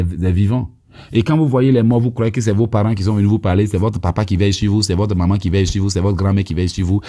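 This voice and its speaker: Man, Ivorian French accent, preacher, husky low voice, emphatic with stammered restarts, phone at arm's length outdoors. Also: warm tone. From Côte d'Ivoire. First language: Abé. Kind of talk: deliverance prayer teaching.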